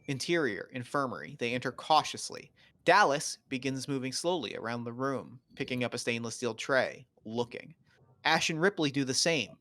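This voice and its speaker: A man's voice: medium pitch, expressive, moderate pace, pushed and bright. A man reading stage directions as the narrator of a scripted table read.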